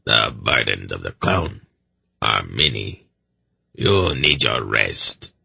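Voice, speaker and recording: A man voicing a grim, formal old seneschal with a rasping voice and stern, terse speech in a fantasy audiobook. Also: very deep voice, big pitch swings, slow pace, sharp tone, no echo, voice pushed down, exaggerated voice. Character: grim, bleak old military seneschal, heavy-shouldered man.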